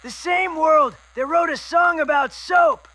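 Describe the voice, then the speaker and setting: An eccentric wasteland scavenger for a radio drama. His voice is very high and sharp, with drawn-out, theatrical exclamations. He comes across as manic and excitable. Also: heavily acted. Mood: enthusiastic. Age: 30s.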